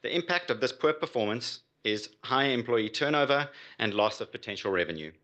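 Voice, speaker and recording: A man delivering a prepared pitch as a startup founder. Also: animated, a little fast, bright, forceful tone, highs cut off. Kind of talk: prepared business pitch presentation.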